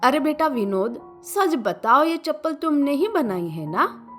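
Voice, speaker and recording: Woman playing a high-pitched, fond old village mother. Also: unhurried, exaggerated voice. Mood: questioning, fond. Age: older. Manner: exclaiming, affectionate, expressive. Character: humble, fond old village mother who cleans houses. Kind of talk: cartoon story acting. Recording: music bed behind the voice.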